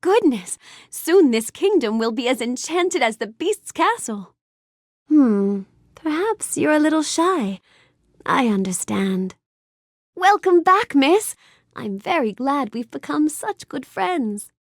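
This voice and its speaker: A woman's voice: high-pitched, sing-song, slow pace, no echo, clean studio sound, heavily acted. A woman voicing a gracious, bookish young storybook heroine in a video game, speaking politely and expressively with careful enunciation. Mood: delighted wonder, then gentle coaxing, then warm welcome. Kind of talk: scripted video game character lines.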